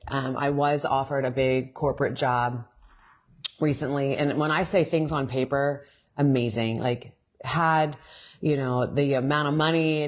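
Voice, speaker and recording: A woman, co-hosting a morning talk show and telling animated stories. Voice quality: deep voice. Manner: animated storyteller, restarts sentences. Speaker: co-host. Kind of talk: casual morning show chat.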